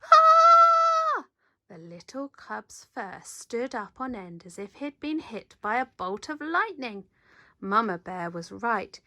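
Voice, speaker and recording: A woman reading a children's picture book aloud in an animated, dramatic storyteller's manner. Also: medium pitch, measured pace, no echo, highs cut off. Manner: animated, dramatic, leans into sound words. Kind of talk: expressive read-aloud narration.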